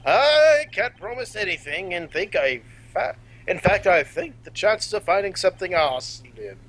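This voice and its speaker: A man putting on a fussy old lawyer, pompous and formal, raised bright voice, game dub. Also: sits high, very animated, a little slow, voice pushed up, heavily acted.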